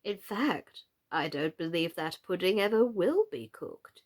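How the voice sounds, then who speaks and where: A woman voicing a gentle, foolish, doleful old knight in a children's storybook, with a slow, fussy, theatrical delivery. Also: fairly low pitch, animated. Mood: doleful, thoughtful.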